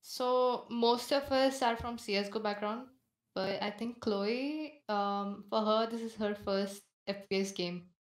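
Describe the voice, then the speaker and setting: A woman's voice: mid-range voice, unhurried, echoey room. A woman, answering interview questions briefly and hesitantly as team captain. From India. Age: 20s.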